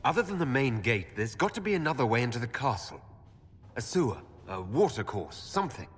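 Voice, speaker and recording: An actor playing a defiant, earnest and direct heroic envoy in a fantasy video game. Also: male voice, medium pitch, big pitch swings, a little fast, husky. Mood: urgent. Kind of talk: dramatic video game acting. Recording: long hall reverb, music behind.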